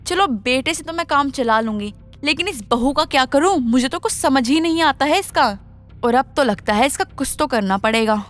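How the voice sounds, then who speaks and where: A woman's voice: sits high, lively intonation, normal speed, a bit bright, soft background music. A woman voicing a cruel, scheming older mother-in-law in a moral story, bossy and sarcastic as she scolds. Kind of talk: Hindi moral story voice acting.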